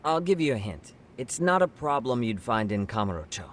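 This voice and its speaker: A man's voice: medium pitch, very animated, fairly quick, a little hiss or hum, lightly acted. An actor playing an easygoing, persuasive male detective in a video game, talking casually. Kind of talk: video game voice acting, conversational. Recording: loud music under the dialogue.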